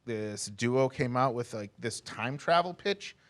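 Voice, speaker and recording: A man's voice: mid-range voice, animated, a little slow. A man speaking as a comics company rep in a casual interview, joking and slangy, peppering his talk with "like". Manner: slangy, joking, heavy use of "like". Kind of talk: casual, animated interview chat.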